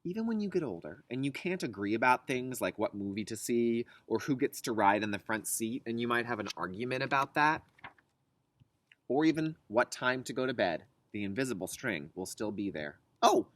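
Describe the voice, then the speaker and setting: A man's voice: mid-range voice, animated, normal speed, fairly bright tone, no room sound. A man teaching young children through a read-aloud lesson, explaining in simple, instructive phrases and posing questions to them. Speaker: kindergarten teacher.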